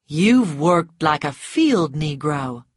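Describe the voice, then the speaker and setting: A woman voicing a mocking, self-assured man in an audiobook story, blunt, deliberate and teasing. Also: deep voice, sing-song, breathy edge, no echo, clean studio sound, full character voice. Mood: scornful.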